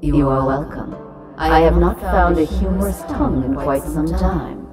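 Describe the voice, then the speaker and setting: Slow, imperious ancient nymph sentinel. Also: female voice, deep voice, distant mic, loud music behind the voice. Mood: cool, amused. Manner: imperious, formal, deliberate. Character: regal, centuries-old nymph sentinel guarding a fey realm. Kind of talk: fantasy audio drama acting.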